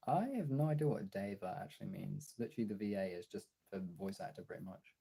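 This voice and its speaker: Young guy streamer just chatting, lowish mellow voice, bit raspy, super informal. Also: lively intonation, fast talker, low-quality recording. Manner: informal, chatty, answering chat as he goes. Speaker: streamer and video creator. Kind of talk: casual livestream chat.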